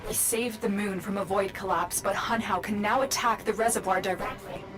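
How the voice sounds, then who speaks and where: An actress playing a calm but urgent female guide in a video game, giving mission directions in a measured, commanding way. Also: medium pitch, natural voice. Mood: grave, urgent.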